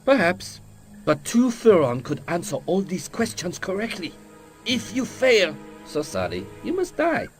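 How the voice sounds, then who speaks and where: An actor playing a suspicious, pompous ninja cult quizmaster in a video game, speaking clipped broken English with a mock Japanese accent. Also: male voice, high-pitched, huge pitch swings, measured pace, faint music behind, exaggerated voice. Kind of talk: video game cutscene acting.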